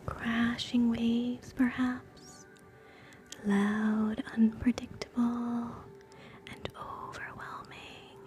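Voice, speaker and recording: A woman hosting live ASMR in a soft, breathy whisper, gentle and soothing, moving between guided relaxation and quiet chat. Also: on the high side, level tone, very slow, faint music behind. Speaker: ASMR streamer, host.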